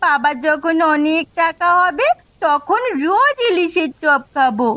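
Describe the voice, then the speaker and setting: A woman's voice: sits high, expressive, measured pace, sharp tone, no echo, exaggerated voice. A woman voicing a sick little girl in a cartoon, wistful, childlike and pleading. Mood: sad, wistful. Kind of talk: Bengali cartoon voice acting.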